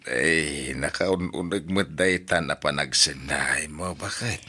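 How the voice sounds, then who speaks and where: A grieving old grandfather in a radio drama. His voice is low and husky, and he speaks slowly with heavy resignation. The sound is dry and close. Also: pushed and bright, dry recording, heavily acted. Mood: resigned. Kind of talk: Ilocano radio drama acting.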